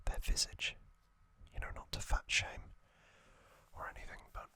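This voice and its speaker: A man whispering a calm trivia recap for an ASMR video close to the microphone, with soft ums and casual asides. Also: moderate pace. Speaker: ASMR channel host.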